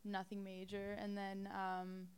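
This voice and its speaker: A woman's voice: mid-range voice, completely flat delivery, unhurried, smooth. A woman, chatting as an interview guest, hesitant and full of fillers. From Romania. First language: Romanian. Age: 20s. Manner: hesitant, many "like" and "um" fillers, laughs often. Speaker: guest, model. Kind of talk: casual interview chat.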